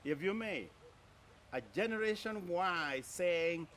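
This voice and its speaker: Higher-pitched man hosting an interview. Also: expressive, takes it slowly, a bit bright, a little hiss or hum. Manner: emphatic, repeats the guest's answers. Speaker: program host and interviewer. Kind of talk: on-air interview.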